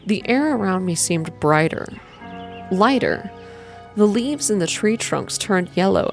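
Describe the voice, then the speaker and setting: A woman reading a story game aloud in ASMR style, slow and gentle, with casual asides. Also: lowish voice. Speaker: game streamer reading a visual novel aloud.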